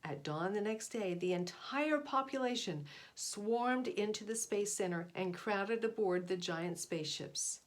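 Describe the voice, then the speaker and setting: A woman's voice: mid-range voice, measured pace, few pauses. A woman narrating a children's picture book aloud in a dramatic, emphatic way. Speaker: storybook reader.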